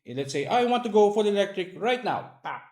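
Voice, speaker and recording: A man's voice: high-pitched, lively intonation, brisk, darkish tone. A man with a Filipino accent interviewing conversationally as a host, feeling his way through his questions. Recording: roomy, echoing indoor sound.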